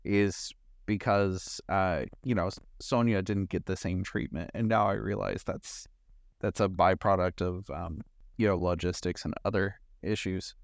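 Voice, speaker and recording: Male podcast co-host, slightly low voice, dry close sound, casual analytical film chat. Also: steady tone, measured pace, gravelly, no echo. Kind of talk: casual movie discussion.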